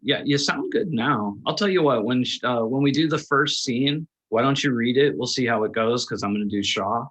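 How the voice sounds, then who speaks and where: A man leading a table read on a video call, talking casually and haltingly in a reassuring, informal way. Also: mid-range voice, a bit bright, rough recording. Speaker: film production lead running a table read.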